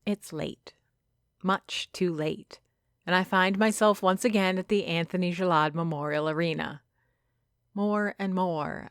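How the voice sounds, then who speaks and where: A woman narrating a first-person story from a script, reflective and measured, with dramatic phrasing. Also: sits low, no room sound, clean studio sound. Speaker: narrator of a fiction podcast.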